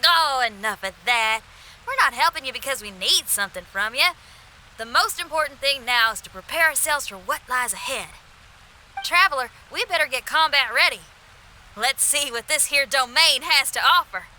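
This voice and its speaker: A woman's voice: high voice, lively intonation, moderate pace, pushed and bright, slight background noise, exaggerated voice, rough recording. A woman voicing a confident, free-spirited young musician in a video game, with a breezy, assured way of talking.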